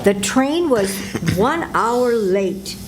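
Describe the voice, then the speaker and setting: An older woman in a senior center radio drama reading, playing a falsely sweet house guest with soft exclamations. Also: on the high side, very animated, drawn-out words, slight background noise, full character voice. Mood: hesitant. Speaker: amateur radio actor.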